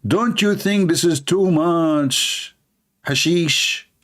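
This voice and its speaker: A man's voice: a little high, drawn-out words, fairly bright tone. A man hosting a live stream, talking in a sarcastic, mocking way and mimicking others in funny voices. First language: Arabic.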